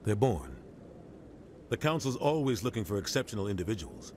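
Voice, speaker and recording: Low-voiced, seasoned military officer. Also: male voice, very animated, brisk, darkish tone, a little hiss or hum. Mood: grave, measured. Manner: matter-of-fact, authoritative explaining. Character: seasoned, pragmatic male military officer and political advisor. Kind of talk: measured video game dialogue.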